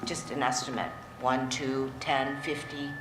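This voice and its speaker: A woman's voice: rumbling low, flat delivery, unhurried. A woman board member asks probing, plain-spoken questions at a formal meeting. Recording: echoey meeting room with clear background noise.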